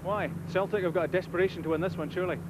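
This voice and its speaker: A man reporting from outside a football stadium, putting quick questions to fans. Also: high voice, steady tone. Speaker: pitchside reporter. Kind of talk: vox-pop interviewing. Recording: loud crowd and hiss on the broadcast.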